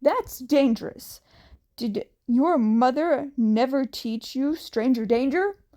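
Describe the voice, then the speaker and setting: A woman's voice: high voice, expressive, slow, warm tone. A woman voicing a stern, rule-bound teenage boy with a stiff, scolding manner in an amateur audio story. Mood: appalled, scolding.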